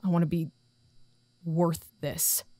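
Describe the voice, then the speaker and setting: A woman's voice: fairly low pitch, level tone, slow pace, mellow, no echo. An actress playing an anxious, dutiful site director in an audio drama, formal but faltering and apologetic as she reports. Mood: earnest, weary. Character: anxious, dutiful female acting site director, self-doubting.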